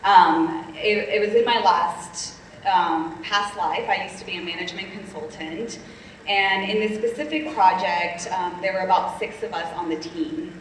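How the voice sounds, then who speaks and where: A woman's voice: on the low side, a little slow, slight background noise. A woman from Colombia, a panelist telling her story in a candid, reflective way with frequent ums. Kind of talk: panel discussion, personal story. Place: conference stage. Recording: echoey hall, distant mic.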